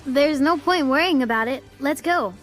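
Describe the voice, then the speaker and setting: An actress playing a caring, reassuring young female cadet in a video game, gentle and conversational. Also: sits high, moderate pace, soft background music. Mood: concerned, reassuring.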